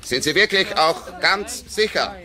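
An actor playing an officious, impatient police inspector with a Viennese accent in a radio crime play, brusque in manner. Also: male voice, sits high, lively intonation, unhurried, exaggerated voice. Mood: official. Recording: loud crowd of bystanders behind.

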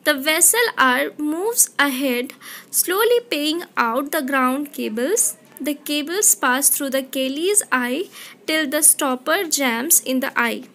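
A woman teaching an online class over slides, explaining step by step with halting restarts. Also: high-pitched, lively intonation, sharp tone. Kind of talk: slide-based lecture.

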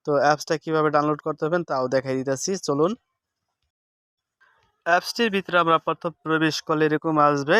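Higher-pitched, clear man. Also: a bit bright, dry recording, clean studio sound. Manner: instructive, repeats steps and seeks confirmation. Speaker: tech tips host. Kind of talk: casual screen-recording tutorial.

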